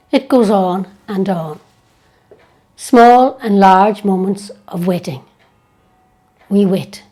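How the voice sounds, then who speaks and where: Woman, very slow pace, soft mellow tone, gentle guided meditation, long pauses. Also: mid-range voice. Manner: gentle, unhurried, hushed delivery with long pauses.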